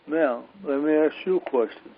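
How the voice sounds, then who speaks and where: A man's voice: a little high, moderate pace. A man taking part in a conference call, answering questions in a casual, conversational way. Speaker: call participant being quizzed. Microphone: conference phone call. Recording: muffled, faintly noisy phone conference line.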